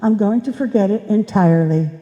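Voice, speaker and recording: A woman's voice: medium pitch, brisk, warm tone, muffled recording, natural voice. A woman playing a nagging, long-suffering wife who needles her husband in a live old-time radio comedy. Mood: reproachful. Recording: reverberant live hall.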